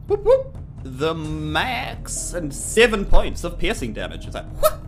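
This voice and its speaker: Jokey, unhurried woman with very swooping pitch. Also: huge pitch swings, soft background music. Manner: jokey, playful. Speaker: player in a tabletop game podcast. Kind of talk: casual game-table banter.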